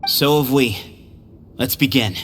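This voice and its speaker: A man's voice: medium pitch, unhurried, fairly bright tone, a little hiss or hum, natural voice. A man playing a confident, cheeky young desert leader in an anime-style video game. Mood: cocky.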